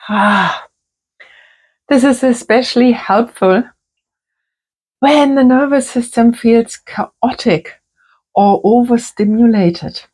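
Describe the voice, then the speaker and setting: A woman working as a creative therapist, talking gently and softly through a calm crafting tutorial. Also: on the high side. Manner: gentle, soft-spoken, unhurried.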